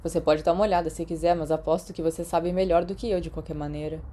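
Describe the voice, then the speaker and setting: Woman reading as a tentative, mellow Brazilian teenage boy. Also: deep voice, steady tone, fast talker. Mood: casual, modest. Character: polite, modest, hesitant teenage boy wizard student. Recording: clearly noisy background.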